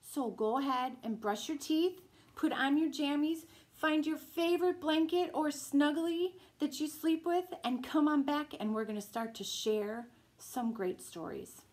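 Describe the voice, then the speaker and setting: A middle-aged woman reading a picture book aloud as a children's storytime host, with a deliberate, singsong delivery. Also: sits high, darkish tone. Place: small room at home, indoors. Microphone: camera a few feet away.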